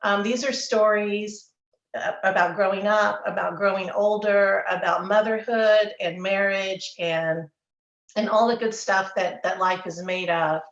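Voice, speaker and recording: A woman's voice: medium pitch, steady tone, measured pace. Texas lady author telling stories, stumbles on words, echoey dull sound. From Texas, USA. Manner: storytelling, repeats words, chuckles. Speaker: author guest. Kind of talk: casual interview chat.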